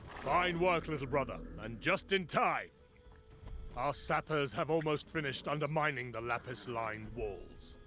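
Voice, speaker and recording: A man's voice: medium pitch, unhurried, sharp tone. An actor playing an imperious, boastful warrior king of ancient Persia, commanding and scornful in a declamatory game style. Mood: haughty, curt. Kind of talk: dramatic game voice acting. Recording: battle noise behind the voice.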